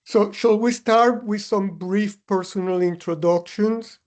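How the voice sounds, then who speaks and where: A man, speaking in a measured, reflective way as a podcast co-host. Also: high-pitched. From Cuba. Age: 70s or older. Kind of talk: conversational podcast discussion.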